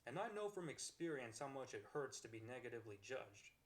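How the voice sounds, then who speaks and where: A man voicing a kind-hearted, affectionate boyfriend in an ASMR roleplay, gentle, earnest and reassuring. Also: mid-range voice, very animated, fairly quick, lightly acted. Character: kind-hearted, accepting, affectionate young boyfriend comforting his partner. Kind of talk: ASMR romantic roleplay, one-sided acted dialogue.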